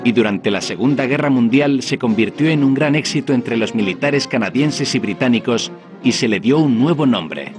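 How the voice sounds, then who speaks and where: A man's voice: mid-range voice, animated, normal speed. Man narrating a documentary over music. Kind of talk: scripted narration. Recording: music playing behind the voice. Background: background music.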